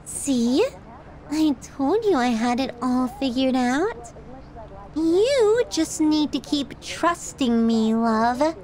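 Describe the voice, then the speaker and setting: A woman's voice: high voice, very animated, a little mellow, heavily acted, low-quality recording. A woman playing a possessive, sadistic yandere girlfriend in an ASMR roleplay, cooing and teasing with sweet menace. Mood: calculating, menacing. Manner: cooing, drawn-out, teasing, clingy delivery.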